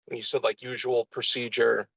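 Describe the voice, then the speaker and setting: Male player, fry-heavy casual speech, slightly distant voice-chat pickup with little echo. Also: mid-range voice, little pitch movement, normal speed, a bit bright. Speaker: tabletop game player. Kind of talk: casual chat during an online game.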